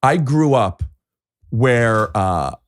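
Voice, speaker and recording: A man hosting a podcast in casual conversation, talking in halting stops and restarts with many fillers. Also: medium pitch, very animated, no echo. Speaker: podcast host.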